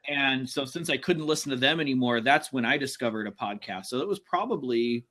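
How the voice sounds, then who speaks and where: Easygoing man at mid pitch. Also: animated, a little fast, sharp tone. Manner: easygoing, storytelling. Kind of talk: casual chat.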